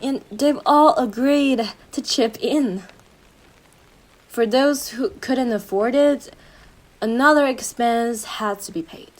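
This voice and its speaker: A woman's voice: on the high side, slow. A woman playing an obsessive, possessive girlfriend in a roleplay audio drama, cooing endearments to the listener with a sweet but menacing manner. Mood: sweetly menacing.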